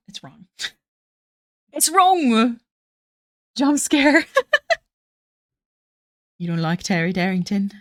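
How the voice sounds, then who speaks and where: A woman's voice: on the low side, creaky. A young woman chatting casually with viewers while drawing on a live stream, giggly and self-deprecating.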